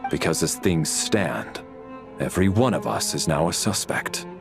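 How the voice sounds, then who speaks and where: Formal, low-voiced chief investigator. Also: male voice, animated, vocal fry, full character voice. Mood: stern, calm. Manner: formal, measured. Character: composed, formal authority leading a murder inquiry. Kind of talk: dramatic game voice acting. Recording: loud string music behind.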